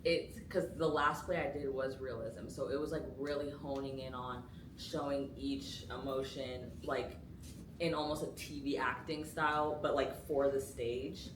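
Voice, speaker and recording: A woman's voice: extremely low pitch, fairly even intonation, unhurried. A young woman, a student actor, chatting casually about rehearsals and filling her talk with "like". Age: young adult. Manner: chatty, lots of "like" fillers. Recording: roomy, distant mic, noisy.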